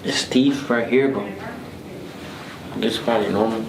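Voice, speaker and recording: A man talks casually about how his body feels during treatment. His pace is slow and his pitch average. The room is echoey, with clear noise in the background. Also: fairly even intonation. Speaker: chiropractic patient.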